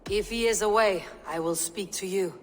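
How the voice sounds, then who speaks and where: An actress playing a stern, weary young Viking warrior leader in a video game, speaking bluntly and solemnly. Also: female voice, mid-range voice, moderate pace, slight background noise, close to an everyday voice. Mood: firm, dry. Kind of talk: video game cutscene acting.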